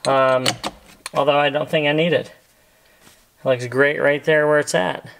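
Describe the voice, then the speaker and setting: A man's voice: a little high, fairly even intonation, unhurried, creaky, a bit bright. A middle-aged American man giving a casual, easygoing hands-on tutorial, talking as he works inside a parked car. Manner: easygoing, talking while working with his hands. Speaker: host of a car accessory install video. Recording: faint background noise and clicks.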